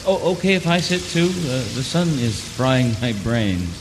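An actor playing a thoughtful, sceptical visitor to an island in an audio drama, speaking in hesitant, broken phrases. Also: male voice, mid-range voice, sing-song, moderate pace, close to an everyday voice. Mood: reflective. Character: thoughtful, sceptical visitor named Baden, narrating his island experiences. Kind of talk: audio drama acting and first-person narration. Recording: long reverb, ambient noise behind.

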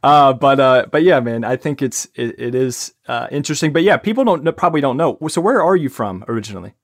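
A man's voice: mid-range voice, lively intonation, quick pace, dry recording, clean studio sound. A man hosting a podcast, talking informally and agreeably as he interviews a guest in a casual, conversational way.

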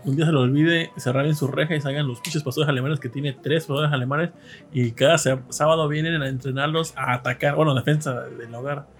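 A man co-hosting a podcast with a Mexican Spanish accent, telling rambling stories with many pauses and fillers. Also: fairly high pitch, steady tone, faint music behind. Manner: rambling storyteller, hesitant fillers. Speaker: podcast co-host. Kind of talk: casual chat.

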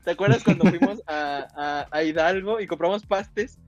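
A man's voice: sits high, moderate pace, loud music behind the voice. A man co-hosting a podcast, telling stories with frequent laughter. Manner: laughing, storytelling. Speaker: podcast co-host. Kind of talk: casual chat.

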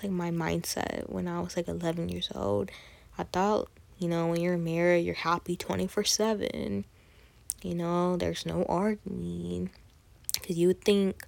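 A woman's voice: on the low side, vocal fry, a little mellow, no room sound. A woman, hosting her own podcast in a casual, chatty monologue full of fillers and restarts. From Texas, USA. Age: 20s. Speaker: podcast host.

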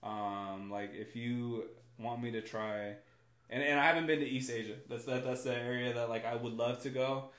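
A man's voice: medium pitch, fairly even intonation, normal speed, fairly bright tone. Rambling man from California, echoey room. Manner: rambling, lots of "like" and "right?", repeats words. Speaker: guest. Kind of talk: casual podcast conversation.

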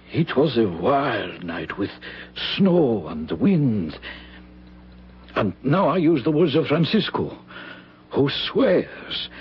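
An actor playing a grave, kindly old village priest who tells dark tales solemnly, in old-time radio drama style. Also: male voice, medium pitch, husky, exaggerated voice. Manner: solemn, deliberate storytelling with dramatic pauses. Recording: dull vintage radio broadcast recording.

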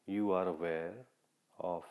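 Slow, low-voiced male meditation guide. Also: fairly even intonation, a little mellow. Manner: measured, instructional, repetitive short phrases with long pauses. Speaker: meditation guide, podcast host.

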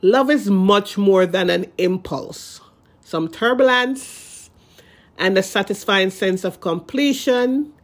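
A blogger with a congested nose, so every word comes out nasal. They read a written passage aloud at a measured pace. When they explain it in their own words, they stall and repeat themselves. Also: medium pitch. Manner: halting and repetitive when speaking off the page. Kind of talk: reading a relationship post aloud, then explaining it.

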